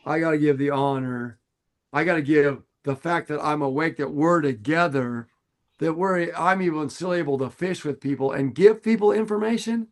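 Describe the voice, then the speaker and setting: Raspy older man, earnest storyteller. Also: fairly high pitch, normal speed. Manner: earnest, animated storytelling with laughs. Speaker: podcast co-host. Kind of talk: casual podcast chat.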